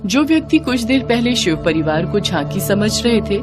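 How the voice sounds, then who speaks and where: A woman's voice: mid-range voice, very animated, moderate pace, music in the background. A woman narrator reading a scripted devotional moral story.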